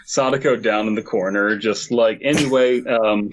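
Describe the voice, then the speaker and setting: A man's voice: lowish voice, fairly even intonation, normal speed, a little hiss or hum, low-quality recording. A man co-hosting a podcast on a remote call, joking, swearing and breaking into laughter as he reads fan fiction aloud in casual mock fashion. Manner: jokey, profane, breaks into laughter. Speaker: podcast co-host.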